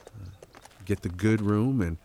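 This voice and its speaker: A man's voice: fairly low pitch, measured pace, gravelly, warm tone, slight background noise. A man acting a devoted, earnest and reassuring husband in an audio drama. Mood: tender, reassuring.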